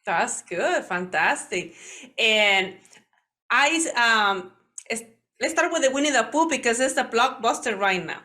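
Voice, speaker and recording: Mexican woman in her forties, bright voice, halting second-language English, interviewer. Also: a little high, lively intonation. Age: 40s. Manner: halting non-native English, searching for words. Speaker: host.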